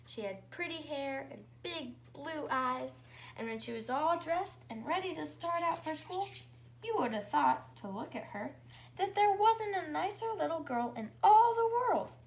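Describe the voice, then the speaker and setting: Female story-time reader for kids, high-pitched with some creak, light noise behind. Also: animated, a little slow. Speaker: children's story reader and host. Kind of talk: read-aloud bedtime story for children. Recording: faint background noise.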